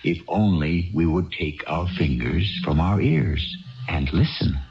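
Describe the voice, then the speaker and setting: Deep male host narrating old radio drama, expressive, music behind, muffled broadcast sound. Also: vocal fry. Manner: reflective, rhetorical, deliberate pauses. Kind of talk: scripted narration for 1970s radio. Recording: dull 1970s radio broadcast recording.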